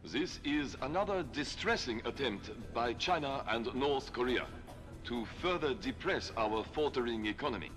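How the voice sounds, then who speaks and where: An actor playing a proud, stern Japanese admiral giving a formal, aggrieved public statement. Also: male voice, medium pitch, expressive, normal speed, fairly bright tone, soft background music, natural voice. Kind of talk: formal political speech.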